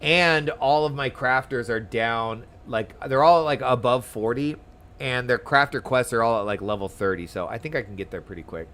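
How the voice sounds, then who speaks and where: A man's voice: mid-range voice, moderate pace, a bit bright. A man chatting casually while live streaming a game, giving loose commentary as he plays. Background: game music.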